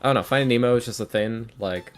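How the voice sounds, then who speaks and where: A man's voice: mid-range voice, fast talker. A man in his early twenties chatting casually as a podcast guest, rambling along in an informal, slangy way. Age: early 20s. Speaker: interview guest, ASMR roleplay creator and voice actor. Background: soft guitar music.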